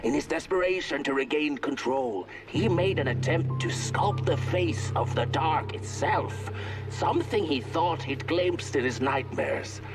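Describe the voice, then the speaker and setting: A man voicing the narrator of a dark fantasy storybook in a video game, telling the tale in a measured, literary way. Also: a little high, lively intonation. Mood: ominous, wry. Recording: music behind the voice. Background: music.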